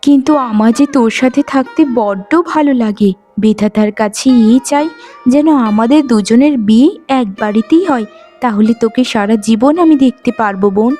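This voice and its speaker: A woman voicing a gentle, dutiful young village sister in a cartoon, speaking in a soft-hearted, pleading way. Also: on the high side, normal speed, faint music behind, natural voice. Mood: affectionate. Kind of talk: animated folk-tale cartoon voice acting.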